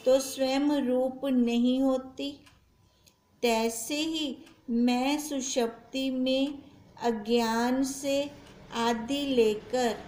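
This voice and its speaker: High-pitched, very slow-speaking woman teaching scripture. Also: darkish tone. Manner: didactic, repeats phrases and adds check-in tags. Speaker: spiritual teacher reading and explaining scripture. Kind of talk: satsang discourse, reading aloud with plain explanation. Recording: echoey room, faint background noise.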